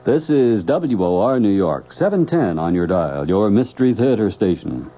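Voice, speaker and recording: A man's voice: on the low side, very animated, moderate pace. An American man giving a scripted station identification as a radio announcer. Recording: dull old radio broadcast.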